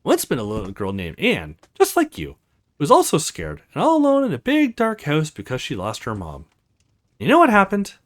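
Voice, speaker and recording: A woman playing a kind, gentle and reassuring young witch in a visual novel game, over music. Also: medium pitch, huge pitch swings, normal speed.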